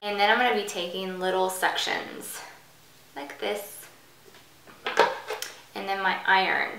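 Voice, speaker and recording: A woman's voice: medium pitch, fairly even intonation, a little slow, vocal fry, reverberant, heavy background noise. A woman hosting a casual step-by-step hairstyling tutorial, explaining in an instructional way.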